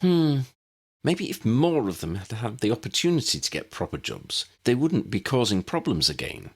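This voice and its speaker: Earnest young woman, voiced by a man, sensible, brisk, caring, raised pitch, audiobook character. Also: very animated, husky. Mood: dry.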